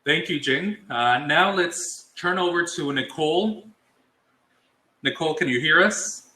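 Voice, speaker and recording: A man's voice: fairly high pitch, animated, a little slow, sharp tone, roomy sound. A man professor hosting an online college workshop, talking in a friendly way with some hesitant fillers. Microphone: video call.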